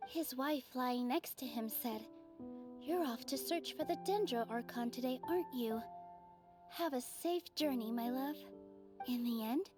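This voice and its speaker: A woman voicing a gentle young female dancer in a video game, telling an old tale in a soft, measured way. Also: sits high. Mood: storytelling. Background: game music.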